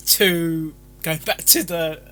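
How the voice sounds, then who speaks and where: A man's voice: on the high side, steady tone, takes it slowly, sharp tone, faint music behind. A man giving rambling, casual commentary as a stream host, stammering and often repeating words as he goes. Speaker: host of a fan fiction reading stream.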